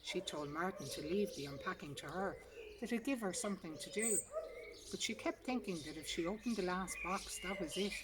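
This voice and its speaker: A woman's voice: lowish voice, animated, a little fast, heavy background noise. A woman reading a literary short story aloud outdoors in a garden. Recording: distant mic, loud outdoor birdsong. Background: birdsong.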